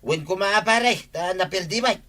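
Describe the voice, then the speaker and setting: A man's voice: high-pitched, normal speed, sharp tone. An actor with an Ilocano accent playing a teasing, complaining village man in a comedy drama. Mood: insistent.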